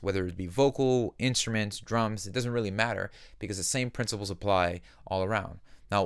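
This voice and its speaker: A man giving a conversational software tutorial as a music production instructor, explaining things simply on a close microphone. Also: fairly low pitch, clean tone, no room sound.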